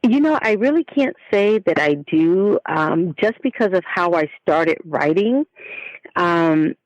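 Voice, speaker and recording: A woman's voice: on the low side, lively intonation, slow pace, no echo, dull audio. A woman speaking as a guest author, giving earnest, explanatory answers in a conversational interview. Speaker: guest, children's book author.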